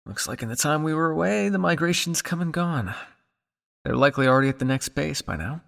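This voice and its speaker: A man playing a weary, guilt-ridden old vampire scientist in an ASMR roleplay, speaking to the listener in a measured, dryly formal way. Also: fairly high pitch, expressive, vocal fry, a bit bright, in a large hall. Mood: somber, dry.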